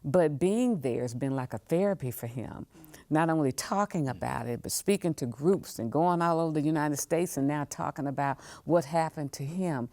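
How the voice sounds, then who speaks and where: A woman's voice: deep voice, normal speed, dark tone, dry recording. A woman talking as an interview guest, repeating words for emphasis. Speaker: museum leader, podcast guest. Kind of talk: conversational interview.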